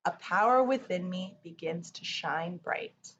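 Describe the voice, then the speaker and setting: A woman reads a rhyming children's picture book at a slow, measured pace. Her storybook delivery is soothing. The recording is a bit muffled, with faint noise underneath. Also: lowish voice, expressive, a bit bright.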